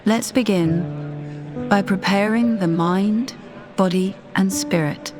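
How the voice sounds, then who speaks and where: A woman narrating a scripted guided meditation in a measured, deliberate, soothing manner. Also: mid-range voice. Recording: voice over background music. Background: music.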